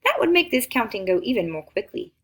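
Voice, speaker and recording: Woman as a gracious storybook noblewoman, animated. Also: a little high, fast talker, husky, full character voice. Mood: practical. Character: Lady Di, gracious practical noblewoman.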